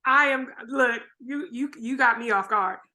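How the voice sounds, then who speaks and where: A woman's voice: on the high side, a little slow, pushed and bright. A woman hosting a podcast interview, chatting casually with enthusiastic exclamations and everyday slang. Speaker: podcast host.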